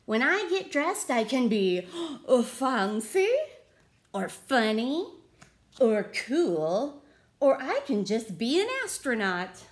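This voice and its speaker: A woman's voice: fairly high pitch, sing-song, slow pace. A woman voicing a cheerful, innocent young male mole rat in a picture book, eager and wide-eyed. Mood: eager, delighted.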